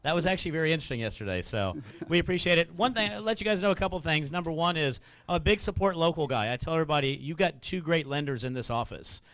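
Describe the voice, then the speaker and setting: Fast-talking male lender. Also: fairly high pitch, expressive, fairly bright tone. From Mexico. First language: Spanish. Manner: fast, chatty, joking, enumerates points. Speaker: mortgage lender. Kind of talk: quick sales pitch to a meeting.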